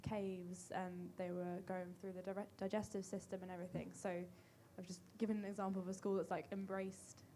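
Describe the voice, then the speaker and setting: A young woman in the audience gives a casual example in a flat, even voice. She often pauses on "um" and "like", and there is a faint hum in the background. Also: medium pitch. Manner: casual, frequent "like" and "um". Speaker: audience member. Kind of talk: casual comment from the floor.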